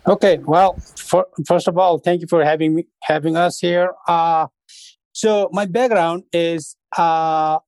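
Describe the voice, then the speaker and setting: Casting middle-aged man from Nepal, halting and unhurried. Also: a little high, fairly even intonation, a little mellow, no room sound, low-quality recording. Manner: unhurried, halting, with many fillers. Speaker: guest, restaurant founder. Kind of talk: casual interview storytelling.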